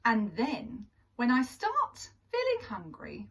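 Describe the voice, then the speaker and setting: A boastful hunting swordfish from a picture book, read by a woman, is playfully bloodthirsty. The voice moves up and down in pitch and takes its time. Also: wildly swooping pitch, slow, distant mic, full character voice.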